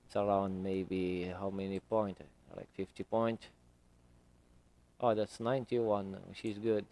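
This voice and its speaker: A man with English as his third language, live-streaming his trading and talking through it slowly with many fillers at a home desk. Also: fairly low pitch, little pitch movement, dark tone, slight background noise, poor audio. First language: not English. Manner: slow, hesitant, many fillers.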